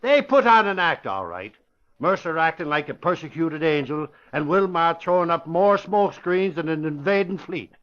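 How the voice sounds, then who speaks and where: An actor playing an excitable, grumbling newspaper reporter in old-time radio style. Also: male voice, a little high, normal speed. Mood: indignant. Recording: dull vintage radio recording with crowd.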